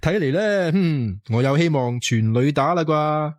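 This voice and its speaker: A man's voice: fairly high pitch, expressive, measured pace, no room sound. An actor with a Hong Kong Cantonese accent playing a lovesick, self-doubting young man in a radio drama, theatrical and playfully flowery. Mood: giddy, triumphant. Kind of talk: radio drama acting with comic inner monologue.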